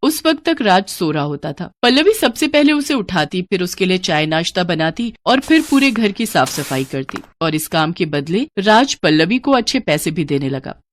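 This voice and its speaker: A woman's voice: on the low side, big pitch swings, normal speed, few pauses, noisy background. A woman narrating a scripted moral story as a storyteller.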